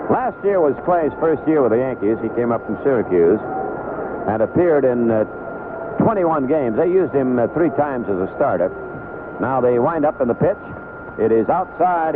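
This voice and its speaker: A male baseball announcer gives live play-by-play at a medium pitch, in short conversational phrases with pauses between them. The sound is a thin, band-limited old AM radio broadcast, with plenty of noise around the voice. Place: broadcast booth at a baseball stadium. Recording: noisy lo-fi 1970s AM radio broadcast.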